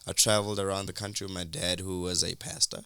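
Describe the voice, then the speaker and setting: A young man from Durban, a musician, telling his story in a relaxed, rambling interview with many fillers and restarts. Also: sits low, quick pace, clear, resonant tone, no echo. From South Africa, Durban. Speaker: musician guest.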